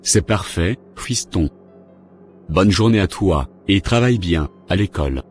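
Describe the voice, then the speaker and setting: A man's voice: sits low, lively intonation, measured pace, darkish tone, husky, soft background music, full character voice. A man playing a resentful, grumbling father and engineer in a cartoon, with a stiff scripted delivery. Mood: stern fatherly. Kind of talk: animated cartoon dialogue.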